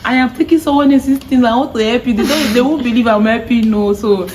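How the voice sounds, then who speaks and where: A young woman with a Nigerian accent, hosting a casual chat; she is lively, laughs often and slips into pidgin. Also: on the high side, brisk, slight background noise. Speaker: vlog host.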